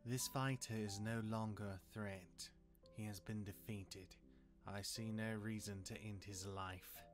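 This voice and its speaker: An actor playing a patient, chatty demigod elder brother and mentor in a fantasy audio drama, instructive and conversational, with music behind him. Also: male voice, on the low side, fairly even intonation, a little slow, close to an everyday voice. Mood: grim defiance. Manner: conversational, instructive, hesitant asides.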